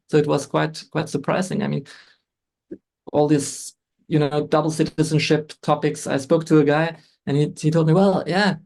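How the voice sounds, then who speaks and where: A middle-aged man with a gravelly edge and a slightly raised pitch for a man. He talks in a halting way, restarting sentences and reaching for words. It sounds like a relaxed podcast interview. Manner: hesitant, frequent restarts and fillers. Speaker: guest photographer.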